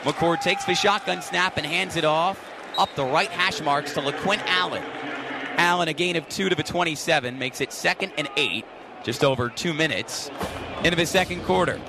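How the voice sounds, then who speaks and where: A young man calling sports play-by-play with animated, punchy, emphatic delivery. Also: fairly high pitch, normal speed, noisy background. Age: young adult. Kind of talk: energetic sports commentary and highlight narration.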